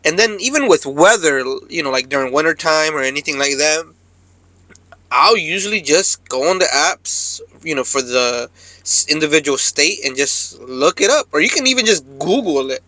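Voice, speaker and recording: Bright-voiced man chatting casually. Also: fairly high pitch, expressive, normal speed, no echo, low-quality recording. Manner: laid-back, rambling, slangy. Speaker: truck driver vlogger. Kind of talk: casual chat to viewers. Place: cab of a parked truck.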